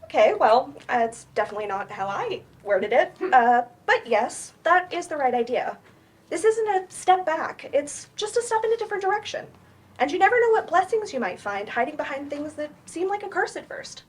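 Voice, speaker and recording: A woman reading the part of a patient, earnest therapist in a staged play, reassuring and gently firm. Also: high voice, sing-song. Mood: encouraging, gently firm. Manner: reassuring, measured. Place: library event room, staged reading.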